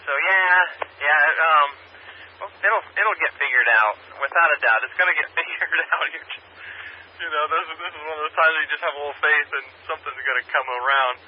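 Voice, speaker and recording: A man chatting casually over a two-way ham radio, talking in a rambling, halting way. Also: a little high. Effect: two-way radio transmission. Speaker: amateur radio operator. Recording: muffled, noisy radio repeater picked up by scanner.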